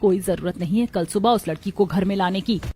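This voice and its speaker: A woman's voice: medium pitch, fairly quick, mellow, faint music behind, close to an everyday voice. Taunting, suspicious mother-in-law, done by a woman. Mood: scornful, suspicious. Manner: sarcastic, complaining. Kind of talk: cartoon family melodrama.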